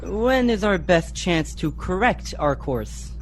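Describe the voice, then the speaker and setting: Low, slow, stern young ship leader woman acting. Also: animated. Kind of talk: sci-fi radio play acting.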